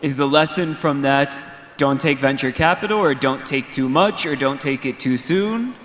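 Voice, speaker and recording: A man moderating a conference panel, firing off quick, probing questions. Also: medium pitch, fairly bright tone. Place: large conference hall. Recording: echoey conference hall.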